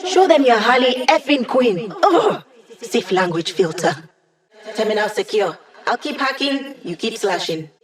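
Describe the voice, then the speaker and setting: A woman's voice: on the high side, sing-song, a little slow. An actress voicing a cocky, sardonic young female hacker who lives as a hologram in a video game, with slangy, teasing delivery. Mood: playful, teasing. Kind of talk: video game character voice lines.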